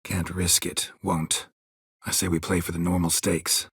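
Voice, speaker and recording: A man voicing a gruff, weary monster hunter in a fantasy video game, with a husky rasp and terse, deadpan, sardonic sentences. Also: low-pitched, measured pace, no echo, full character voice. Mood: dry, guarded. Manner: terse, clipped, deadpan sentences with dry sarcasm.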